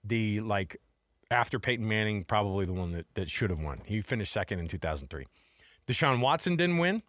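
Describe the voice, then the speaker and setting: A man's voice: fairly low pitch, big pitch swings, brisk, fairly bright tone, dry recording. American man, casual sports radio co-host, dry studio. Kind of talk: casual quiz banter about college football. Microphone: close studio broadcast microphone.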